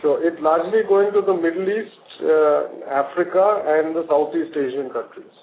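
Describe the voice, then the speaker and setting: A man's voice: on the high side, normal speed. A male company executive giving careful, hesitant answers to analysts on an earnings call. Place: conference phone call. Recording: roomy, narrowband phone line, faint noise.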